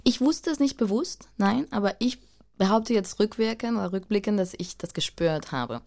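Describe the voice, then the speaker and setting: A woman's voice: medium pitch, expressive, moderate pace, creaky, a little mellow. A woman in her thirties from Spain, a native Spanish speaker, talking reflectively and casually as an interview guest in loose, searching phrases. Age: 30s. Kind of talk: casual conversational interview, personal storytelling.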